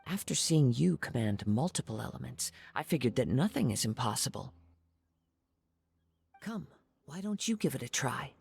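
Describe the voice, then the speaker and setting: Calm, poetic wandering samurai youth. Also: male voice, medium pitch, gravelly. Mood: calm, thoughtful. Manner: measured, poetic, formal. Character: young wandering samurai swordsman, calm, reflective, melancholy. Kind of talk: video game story dialogue and narration. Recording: music behind the voice.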